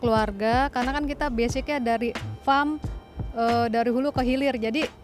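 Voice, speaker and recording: Fairly high-voiced woman from Jakarta, food seller, measured and plain delivery over music. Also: steady tone. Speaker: fish farmer and food seller. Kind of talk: interview to camera.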